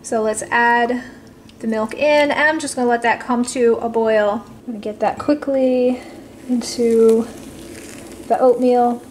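A woman with a somewhat high voice walks through budget recipes in a relaxed, step-by-step way, pausing with casual fillers. Faint background noise sits under her voice. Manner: friendly step-by-step instructions with fillers. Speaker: cooking channel host. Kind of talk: casual cooking voice-over. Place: home kitchen.

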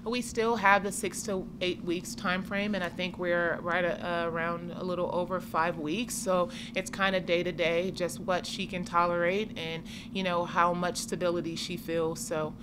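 A woman in her forties, a head coach, answering postgame questions in a measured, steady way at a table mic in a press room. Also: on the low side. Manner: measured, steady, many "I mean" and "you know". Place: press conference room with sponsor backdrop. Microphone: table mic in front of her. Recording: noisy room ambience.

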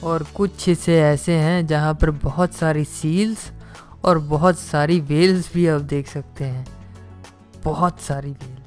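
A man's voice: fairly high pitch, steady tone, measured pace, a little mellow, faint music behind. A man hosting a casual travel video as an off-screen narrator. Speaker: host.

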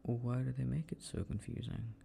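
A man playing a young boyfriend working at his computer, talking quietly in an audio roleplay. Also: moderate pace, creaky, slight background noise. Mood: muttering focused. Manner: low muttering while focused on a task; relaxed, friendly chat with a partner.

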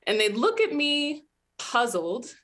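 A young American woman from New Jersey giving a measured, reflective personal talk as a PhD student, recorded over a webcam. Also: a little high, sing-song, a bit bright. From New Jersey, USA. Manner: earnest, measured, reflective storytelling. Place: indoor room at home.

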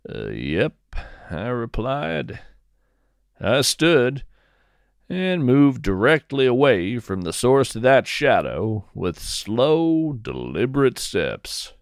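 A man narrating scripted first-person fiction as a podcast host, with deliberate, descriptive, measured phrasing. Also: mid-range voice, very animated, creaky, dry recording, studio quality.

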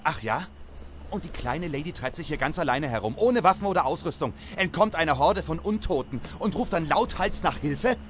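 Man acting a stern, animated survivor leader. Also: a little high, moderate pace, a little hiss or hum. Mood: distrustful. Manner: stern, interrogating. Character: distrustful, forceful male survivor, hot-tempered leader. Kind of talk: German audio drama acting.

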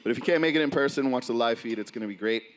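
A man's voice: mid-range voice, racing, background noise. Man coaching in an echoing hall. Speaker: powerlifting coach leading a seminar. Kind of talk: informal live seminar instruction. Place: large indoor gym, speaking to seated group. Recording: reverberant gym hall, distant camera.

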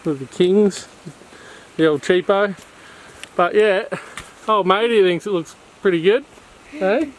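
Laid-back Australian dad filming a vlog. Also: male voice, high voice, slow, long pauses. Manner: laid-back, joking banter with his kids. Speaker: dad filming a camping trip. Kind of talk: casual vlog narration. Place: in a car, then outdoors at a bush campsite. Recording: noisy outdoor camera audio.

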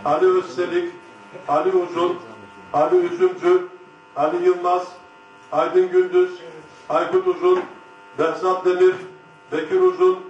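A middle-aged man formally reading out a list in short, separate, monotone phrases. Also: sits high, a little slow, long pauses, lo-fi sound, a little hiss or hum. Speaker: official reading out names. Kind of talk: formal roll call of names. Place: large conference hall, standing on stage. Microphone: handheld microphone. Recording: echoey hall PA from far off.

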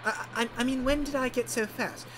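Man doing a high, frantic engine character. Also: steady tone, fairly quick, fairly bright tone, a little hiss or hum. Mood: amazed, giddy. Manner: exclaiming and repeating words frantically.

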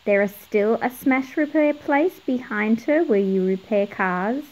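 A woman's voice: fairly high pitch, unhurried, low-quality recording. A middle-aged woman narrating a picture book for children, explaining things and putting questions to young viewers. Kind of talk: read-aloud narration for children.

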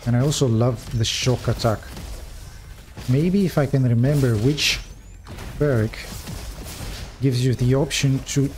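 A man's voice: medium pitch, a little slow, pauses often, a little mellow, a little hiss or hum. A man with a non-native English accent giving casual gameplay commentary, reading upgrade text aloud and chatting to viewers.

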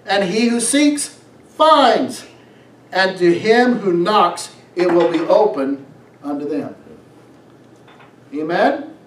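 A middle-aged man preaches in a high-pitched voice at a slow, deliberate pace. He reads scripture and exhorts with emphatic phrasing. The sound is distant from the mic, in a roomy church. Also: lively intonation, a little hiss or hum. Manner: emphatic, exhorting, drawn-out phrases with rhetorical questions. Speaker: pastor. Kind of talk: preaching a sermon, reading scripture aloud. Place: small church sanctuary, on stage. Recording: echoey room, distant from mic.

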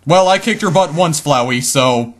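A man voicing a tough, brash fish warrior woman who talks loud and bossy. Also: a little high, animated, normal speed, slight background noise. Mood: fierce.

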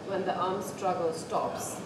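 A woman's voice: lowish voice, steady tone, slow pace. A middle-aged woman with a German accent gives an informal academic talk, speaking in a reflective, conversational and hesitant way. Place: reverberant indoor talk venue. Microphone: distant microphone. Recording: very echoey room, distant mic, loud noise.

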